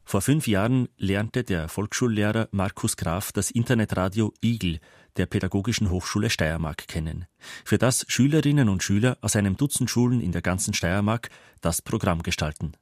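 A male radio presenter reads a prepared introduction. His voice is on the low side, and the sound is dry and free of room echo. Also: moderate pace. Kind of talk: scripted broadcast introduction.

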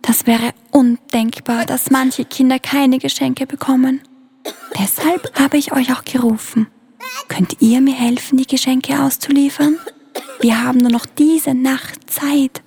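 A child acting a gentle, worried Christmas spirit with a breathy, half-whispered voice in a school radio play. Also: sits high, steady tone, a little slow, exaggerated voice.

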